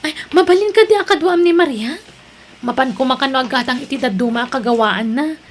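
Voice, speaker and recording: A woman's voice: sits high, a little fast. A woman playing a chatty, helpful co-worker in an audio drama.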